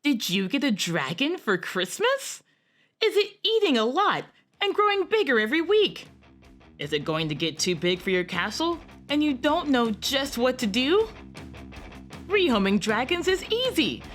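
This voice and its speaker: A woman announcing a parody radio advert as a perky sales pitch. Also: big pitch swings, measured pace, a bit bright, soft background music.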